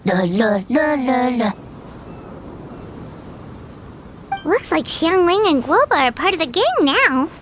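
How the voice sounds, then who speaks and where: A woman voicing a tiny, chatty, excitable fairy companion in a video game, with a squeaky, childlike voice. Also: normal speed, slight background noise. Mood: playful. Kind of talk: animated video game voice acting.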